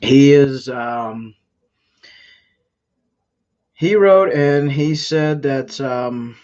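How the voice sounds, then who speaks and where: A man hosting a casual live stream, talking in a halting way with frequent filler sounds. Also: mid-range voice, a little mellow, dull audio. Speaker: scam-baiting live streamer.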